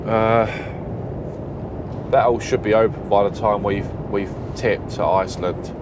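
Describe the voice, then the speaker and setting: Male British trucker, stuffy nose, rambling self-correcting cab chat, heavy engine rumble behind. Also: steady tone, measured pace. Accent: British English. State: stuffy blocked nose, sniffling. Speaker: truck driver vlogger. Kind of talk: casual driving vlog commentary. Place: truck cab at night on a motorway.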